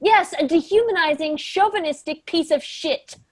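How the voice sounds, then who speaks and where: Woman playing a very high-voiced, indignant employee. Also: normal speed, dry recording. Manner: indignant, emphatic. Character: indignant, stubborn female office worker standing up for herself. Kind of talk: staged play reading.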